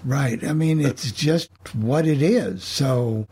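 Husky, slow-paced man in discussion. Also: medium pitch, darkish tone. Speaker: host, radio station operator. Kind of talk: informal group discussion.

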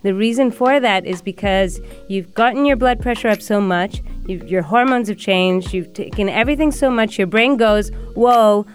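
A woman guest expert explaining clearly in a radio interview, using everyday examples. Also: mid-range voice, moderate pace, few pauses, faint music behind. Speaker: guest expert on brain and performance.

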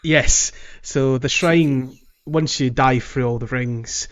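A man co-hosting a casual video game podcast, speaking haltingly and repeating words as he thinks. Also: mid-range voice, lo-fi sound. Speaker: podcast co-host.

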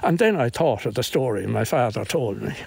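An older man from County Kilkenny with an Irish accent, telling local memories in a halting, unscripted way outdoors. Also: mid-range voice, animated, a little mellow, dry recording. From Inistioge, County Kilkenny, Ireland. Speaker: local interviewee telling folklore. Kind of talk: unscripted reminiscing. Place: outdoors in estate grounds. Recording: outdoor location recording, clear background noise.

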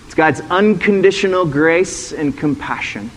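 Middle-aged male ex-pastor, slightly high voice, chatty sermon that draws in the congregation. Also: unhurried, a little hiss or hum, poor audio. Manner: conversational teaching, asks the room questions. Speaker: former pastor, guest speaker.

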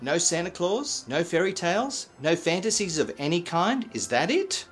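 An actor playing a friendly, charming gentleman in a radio drama, genial and polite. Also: sits high, very animated, moderate pace, soft background music. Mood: courteous. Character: friendly, charming gentleman neighbour courting a mother. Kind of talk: old-time radio drama acting.

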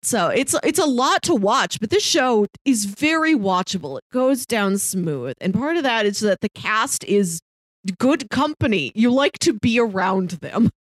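A woman's voice: a little high, lively intonation, a little slow, no echo. A woman co-hosting a podcast, chatting casually as she reviews a show. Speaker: podcast co-host.

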